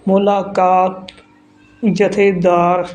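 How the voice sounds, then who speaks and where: Very slow, halting storyteller. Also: medium pitch, little pitch movement, a little mellow, muffled recording, soft background music. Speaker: narrator of folk tales. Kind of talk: slow read-aloud storytelling narration. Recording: some background noise.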